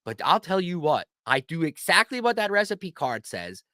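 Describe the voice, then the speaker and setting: Lively, bright-voiced man chatting. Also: a little high, normal speed, no echo, clean studio sound. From Pennsylvania. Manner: animated, playful questioning. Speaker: channel host. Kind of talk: casual chat.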